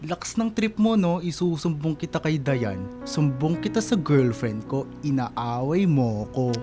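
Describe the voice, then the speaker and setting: A man's voice: fairly high pitch, a little slow. Man acting playful, coaxing young boyfriend, music behind. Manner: joking, coaxing, expressive. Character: playful, teasing young boyfriend and loyal best buddy. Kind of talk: radio drama dialogue.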